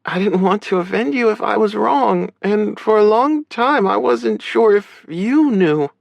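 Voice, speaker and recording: A man's voice: high-pitched, lively intonation, measured pace, gravelly, dry recording, voice pushed up, full character voice. A man voicing a caring, frank adult big sister in an audiobook, speaking with earnest, reassuring emotion. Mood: tearful, gentle. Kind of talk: audiobook character dialogue.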